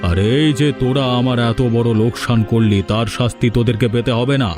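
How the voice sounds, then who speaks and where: A man's voice: mid-range voice, steady tone, fairly quick, over music, close to an everyday voice. A man voicing a stern, bossy village man in a cartoon, scolding and giving orders. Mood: stern, threatening. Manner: scolding, commanding. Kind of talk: Bengali cartoon dialogue.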